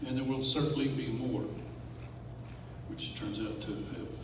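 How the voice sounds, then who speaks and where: An older Texan man delivers a grave, unhurried talk to a club audience. He pauses often and leans on fillers. The recording is distant and echoey, with steady noise in the background. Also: mid-range voice. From West Texas. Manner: measured, grave, with frequent uh fillers. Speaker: guest speaker. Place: meeting hall. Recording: echoey hall from far off, noisy.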